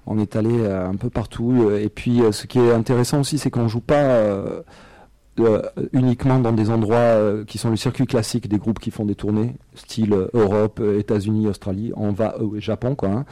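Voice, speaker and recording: A man's voice: lowish voice, fairly even intonation, normal speed, dark tone, no echo. A French-raised man, a singer and musician, answering interview questions in a loose, hesitant way with many fillers and small laughs. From France. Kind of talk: casual radio interview.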